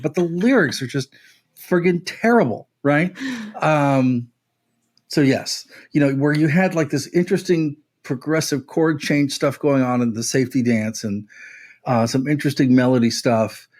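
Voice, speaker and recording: A man co-hosting a music podcast, talking casually and giving blunt, wry, emphatic opinions. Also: medium pitch.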